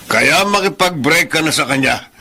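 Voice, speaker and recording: A man's voice: a little high, lively intonation, moderate pace, sharp tone, slightly rough, exaggerated voice. A man playing a stern, calculating older father and law office boss in a Filipino radio drama. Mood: stern, scornful.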